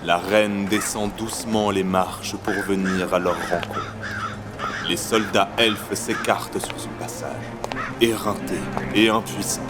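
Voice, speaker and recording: A man's voice: sits low, fairly even intonation, measured pace. A man narrating a fantasy audio drama in a scripted, dramatic storytelling style. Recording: loud music under narration. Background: loud music.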